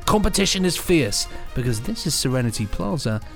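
A man's voice: mid-range voice, very animated, fairly quick, vocal fry, a bit bright, faint music behind. A man hosting a podcast, chatty and playful as he presents news and reads out blurbs. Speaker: podcast host.

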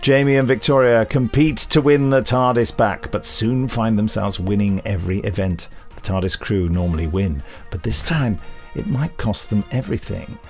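A man hosts a podcast and runs through the news in a relaxed, playful way. He slips in jokes as he reads. Faint music plays behind him. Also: fairly low pitch, moderate pace, hardly any pauses. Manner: playful, jokey asides. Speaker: podcast co-host. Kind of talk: casual news chat.

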